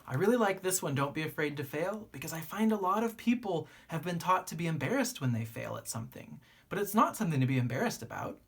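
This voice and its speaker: Teacher lady reading a picture book to kids, slightly husky, lots of up and down, careful pauses. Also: low-pitched. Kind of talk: expressive read-aloud narration for children.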